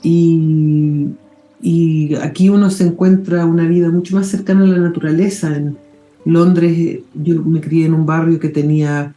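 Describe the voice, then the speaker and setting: A woman in her forties, the guest on an interview show, talking in a relaxed, thoughtful way with pauses and restarts. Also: deep voice, roomy sound, faint music behind, poor audio. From England. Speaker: guest mycologist. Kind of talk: casual interview talk.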